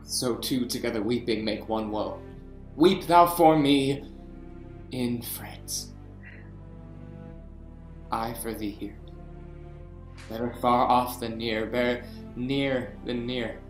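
A man's voice: mid-range voice, echoey room, faint music behind, lightly acted. A man playing a grieving deposed king. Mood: sorrowful. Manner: slow, mournful verse with sharp swells. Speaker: actor in a staged reading. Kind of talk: Shakespeare verse reading. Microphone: webcam on a video call.

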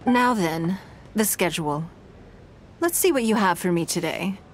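Woman voicing a refined businesswoman in a game, shrewd, composed, measured, elegant. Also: mid-range voice, expressive, slight background noise, lightly acted. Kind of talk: game dialogue.

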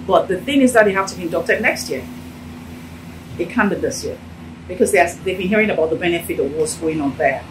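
A woman's voice: on the low side, brisk, recorded from a distance, background noise. Nigerian woman talking from across a room. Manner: animated, gesturing while talking. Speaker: interviewee, business owner. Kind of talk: casual interview talk. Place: indoor office with sofa. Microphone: handheld phone held out by interviewer.

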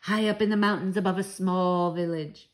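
A woman's voice: fairly low pitch, fairly even intonation, normal speed, natural voice. A woman voicing a bold, proud old Norwegian grandmother in a storybook, speaking with assured, dramatic emphasis and affection. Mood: conspiratorial.

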